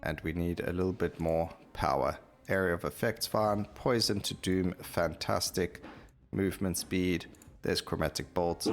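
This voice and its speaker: A man's voice: sits low, a little slow, faint music behind. A man giving casual video game commentary, thinking aloud and explaining his choices as he plays. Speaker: gaming YouTuber.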